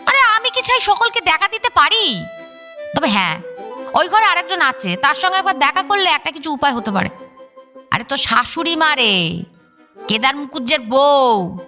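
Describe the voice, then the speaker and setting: A very high, bright-voiced ghost aunt in a radio drama. She teases and scolds in earthy colloquial speech, swinging up and down over faint music. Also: sing-song, normal speed, pauses often, exaggerated voice. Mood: sly, grumbling. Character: sharp-tongued, teasing ghost aunt haunting the family house. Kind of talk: Bengali radio drama acting.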